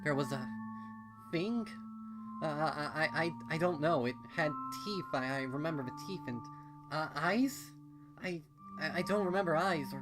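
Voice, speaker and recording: A man's voice: fairly high pitch, pitched above the performer's own voice. A man voicing a shaken, confused pony princess in a fan fiction reading, stammering and repeating words. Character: shaken, rambling young female pony princess, frightened and confused.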